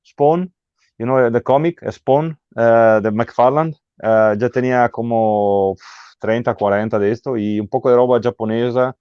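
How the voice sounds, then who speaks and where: A man's voice: medium pitch, clear, resonant tone, no echo. A man from Italy with an Italian accent, speaking Spanish as a guest and often stopping to search for words. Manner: halting, searching for words, restarts. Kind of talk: casual interview chat. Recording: dull, muffled audio.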